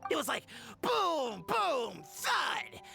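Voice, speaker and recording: Nervous thief henchman for a game, voice jumping all over, sharp and stammery, bit of music under. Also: very high voice, very slow, gravelly, full character voice. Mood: boastful, excitable. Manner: stammering, pleading, excitable. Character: nervous petty thief henchman, cowed, babbling and boastful. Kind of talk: video game dialogue.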